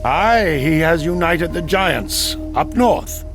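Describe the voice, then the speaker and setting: A man voicing a gruff, grim Norse wanderer in a video game, with a gravelly voice. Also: on the high side, lively intonation, slow. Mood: grim, urgent. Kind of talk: scripted game cutscene dialogue. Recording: music clearly behind the voice.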